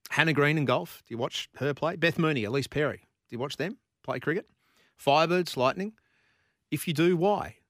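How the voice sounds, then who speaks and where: A man's voice: a little high, moderate pace, a bit bright, no echo, clean studio sound. A man from Brisbane with an Australian accent, hosting a talkback sports radio show and firing rhetorical questions at listeners.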